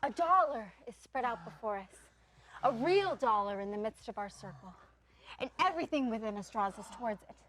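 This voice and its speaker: A woman's voice: on the high side, lively intonation, fairly quick, a bit bright, a little hiss or hum. A woman acting a bossy, jolly troupe comedian with hammy, mock-grand delivery in a stage play. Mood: commanding. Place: stage performance.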